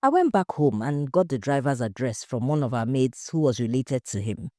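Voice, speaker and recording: A woman's voice: rumbling low, sing-song, normal speed, dark tone, dry recording, studio quality, pitched below the performer's own voice. A woman voicing an anxious, indignant husband accused of murder in an audio story, emphatic and defensive. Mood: worried, indignant.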